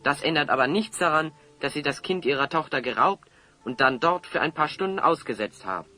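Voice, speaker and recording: An actor playing an upright, stern but merciful hero in a German audio drama, speaking in a measured, formal, sardonic and moralizing way. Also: male voice, a little high, animated, sharp tone, soft background music. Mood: reproachful, sardonic.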